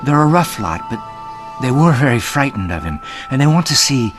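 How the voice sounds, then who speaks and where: An actor playing a ruthless, smooth-scheming ship's mate in a period radio mystery drama. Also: male voice, mid-range voice, big pitch swings, brisk, music in the background, close to an everyday voice. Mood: firm, insistent.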